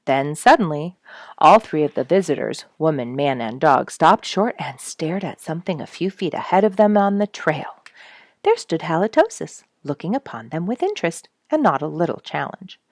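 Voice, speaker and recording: A woman reading a nature story aloud as a storyteller, with expressive narration and deliberate, dramatic emphasis. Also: low-pitched, dry recording, clean studio sound. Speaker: storyteller reading an audiobook chapter.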